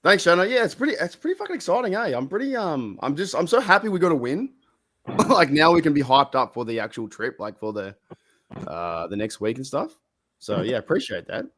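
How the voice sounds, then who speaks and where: A man's voice: on the high side, big pitch swings, fairly quick, a bit bright. An Australian man co-hosting a podcast over a video call, chatting casually, swearing and laughing, his voice a little worn. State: losing his voice. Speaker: podcast co-host. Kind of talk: casual chat.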